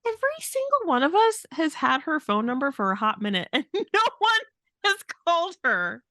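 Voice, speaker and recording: A woman's voice: sits high, big pitch swings, normal speed, pushed and bright, no room sound, clean studio sound. A woman co-hosting a podcast, venting casually in a blunt, exasperated and emphatic way. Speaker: podcast host.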